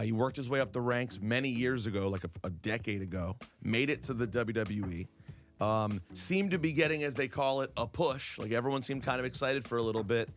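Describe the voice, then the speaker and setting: A man's voice: mid-range voice, a bit bright. A man co-hosting a morning radio interview in a studio, eager and chatty, asking questions and filling in background. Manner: eager, chatty, quick to laugh. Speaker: radio co-host.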